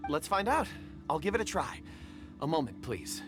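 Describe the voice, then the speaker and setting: A man voicing a shy, polite miner in a video game, gentle and a little hesitant, sometimes stammering. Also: on the high side, sing-song, moderate pace, over loud music.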